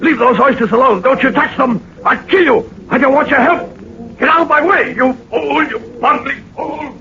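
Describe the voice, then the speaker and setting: A man's voice: extremely high pitch, moderate pace, bright, forceful tone, full character voice. An actor playing an enraged, desperate male thug in a 1940s radio drama, frantic and menacing, with a strained voice. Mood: frantic rage. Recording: muffled, hissy 1940s radio recording.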